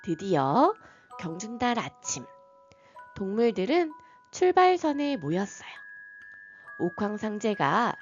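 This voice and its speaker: Woman narrator, bedtime folktale, very slow, lively melodic pitch, slightly dull recording with soft music. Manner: gentle, lilting. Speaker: storyteller.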